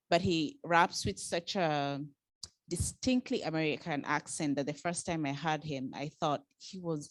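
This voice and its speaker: A woman's voice: low-pitched, no echo. A woman from Kenya giving a spontaneous, earnest comment from the audience, explaining her point as she goes.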